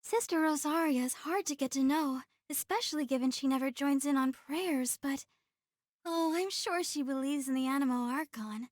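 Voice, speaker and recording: A woman's voice: sits high, normal speed, no room sound, studio quality, lightly acted. A woman playing a timid, sweet young church girl in a video game, speaking in a hesitant, earnest way. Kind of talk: video game character acting.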